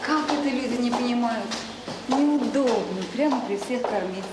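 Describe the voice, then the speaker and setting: An actress playing an officious, self-important schoolteacher in a radio drama, prim, didactic and quick to find fault. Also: female voice, fairly high pitch, close to an everyday voice. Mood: prim, reproachful. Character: officious, fault-finding female teacher, self-important visiting relative. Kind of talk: naturalistic Soviet radio drama acting. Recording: very noisy audio drama recording.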